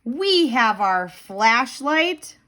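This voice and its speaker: Woman as a slow, reassuring cat dad. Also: fairly high pitch, big pitch swings, a bit bright, exaggerated voice. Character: calm, reassuring cat father in a storybook.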